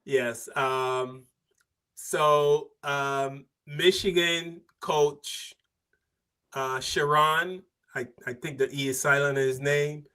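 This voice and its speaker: A man's voice: on the high side, steady tone, takes it slowly, pushed and bright, clear, resonant tone. A man from Barbados hosting a podcast, talking casually and asking questions, repeating "why isn't that enough?". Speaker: podcast host. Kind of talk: casual opinionated discussion.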